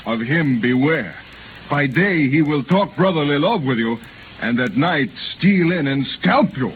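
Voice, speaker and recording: Solemn young messenger, noisy old radio. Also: male voice, fairly high pitch, animated, unhurried. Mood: solemn, warning. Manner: stilted, solemn, oratorical. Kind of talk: 1930s radio acting. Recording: noisy, tinny 1930s radio recording.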